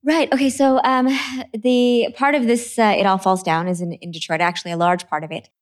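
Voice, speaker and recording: A woman from Trinidad, an author talking about her books in a relaxed interview, earnest and quick to laugh. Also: medium pitch. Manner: earnest, laughs easily, repeats words when starting. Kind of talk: conversational interview.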